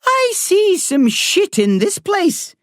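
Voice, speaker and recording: A reader voicing a blunt but kind woman doctor with an Irish accent, earthy and warm in her talk. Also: very high-pitched, wildly swooping pitch, slow pace, dry recording, studio quality, pitched above the performer's own voice, exaggerated voice. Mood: wry. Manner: salty, earthy talk said with warmth. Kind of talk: audiobook character reading.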